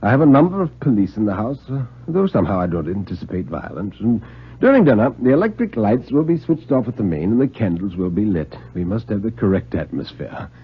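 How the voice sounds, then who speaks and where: A man voicing a genial, scheming old retired British police knight, theatrical and deliberate, in a radio drama. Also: on the low side, big pitch swings, breathy edge. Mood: scheming, confident. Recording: muffled 1950s radio recording.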